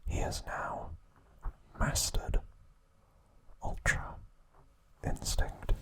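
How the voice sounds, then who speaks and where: A man's voice: extremely slow, a bit bright. A man hosting an ASMR video, giving a whispered recap with playful asides. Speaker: ASMR channel host.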